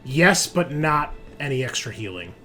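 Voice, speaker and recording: A man's voice: mid-range voice, very animated, normal speed, sharp tone, soft background music. A man casually running a tabletop game as game master, easygoing and joking in his talk.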